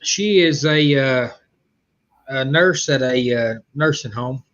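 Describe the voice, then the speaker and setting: A man's voice: mid-range voice, slow pace, a bit bright, lo-fi sound. Hesitant man with a country accent. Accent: Southern US rural. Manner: hesitant, lots of uh fillers, reads comments aloud. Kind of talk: casual livestream chat.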